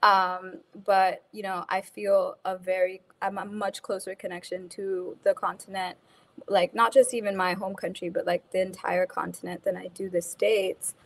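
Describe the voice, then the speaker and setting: A woman's voice: mid-range voice, little pitch movement, moderate pace. A woman from Kenya, raised in the States, answering interview questions in a casual, thoughtful way with frequent "like" and "um" fillers. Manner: many fillers like "like" and "um", restarts. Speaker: guest speaker.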